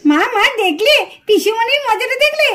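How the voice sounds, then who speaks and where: A woman's voice: extremely high pitch, sing-song, normal speed, a bit bright, heavily acted. A woman voicing an inquisitive, anxious village child in a doll story, full of questions and pleading. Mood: amused.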